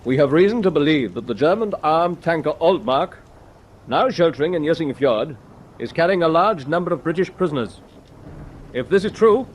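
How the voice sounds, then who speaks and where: An actor playing a firm, composed British destroyer captain in a radio drama, measured and authoritative. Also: male voice, a little high, a little hiss or hum, lightly acted.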